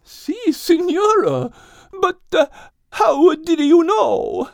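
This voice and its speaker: A man voicing a friendly, earnest female Italian horse in a high falsetto, with an Italian accent and a polite, halting manner. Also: big pitch swings, vocal fry, no echo, studio quality, heavily acted. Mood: amazed.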